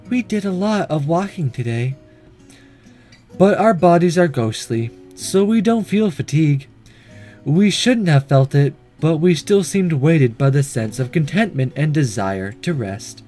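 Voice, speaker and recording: Man reading as a soft, tender ghost schoolgirl over music. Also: on the high side. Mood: tender, calm. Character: gentle ghost schoolgirl, devoted, apologetic sweetheart. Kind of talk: visual novel inner monologue read aloud.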